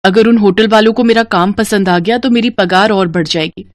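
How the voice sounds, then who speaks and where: Woman as young village woman, dutiful, eager, medium pitch, story dialogue. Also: brisk, no room sound, clean studio sound, close to an everyday voice. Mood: earnest.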